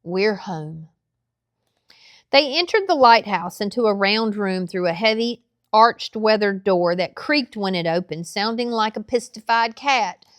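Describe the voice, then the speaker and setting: A woman narrating a children's novel aloud in a measured, expressive storytelling style. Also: medium pitch, no room sound, studio quality.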